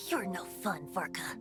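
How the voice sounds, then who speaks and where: A woman's voice: fairly low pitch, sing-song, slow, loud music behind the voice. A woman playing a youthful male wind god and bard in a video game, musing and theatrical, with a playful, teasing streak. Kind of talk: scripted video game acting.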